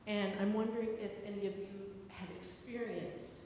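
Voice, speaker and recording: A woman's voice: mid-range voice, steady tone, moderate pace, darkish tone. An older woman asking a thoughtful question at a community discussion, reflective and hesitant. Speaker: audience member asking a question. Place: church hall. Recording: very echoey hall, faint noise.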